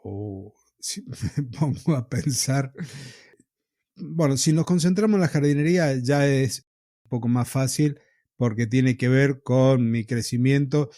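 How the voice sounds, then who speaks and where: An Argentine man with a warm, relaxed voice tells his own story at an easy pace. He sounds reflective, pausing now and then as he remembers. The sound is close and dry, with no room around it. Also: mid-range voice, dry recording. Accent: Argentine Spanish. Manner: reflective, unhurried, with pauses and soft laughs. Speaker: podcast host telling his own story. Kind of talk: casual storytelling in an interview.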